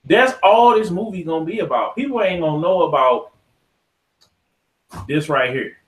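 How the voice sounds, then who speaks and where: A man's voice: fairly high pitch, lively intonation, normal speed. An American man hosting a podcast, talking casually and opinionatedly in a slangy, profane, ranting manner. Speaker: podcast host. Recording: echoey untreated room.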